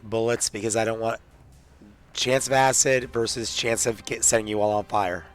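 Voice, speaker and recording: A man's voice: on the low side, steady tone, normal speed, soft background music. A man playing a tabletop roleplaying game, talking casually through his moves and rolls.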